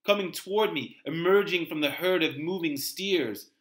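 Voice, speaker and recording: A man acts out a young male driver haunted by a phantom. His voice sits a little high for a man. He sounds desperate and intense, as in a suspenseful radio play. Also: moderate pace, a bit bright, lightly acted. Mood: haunted, weary. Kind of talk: dramatic first-person radio-play narration read aloud.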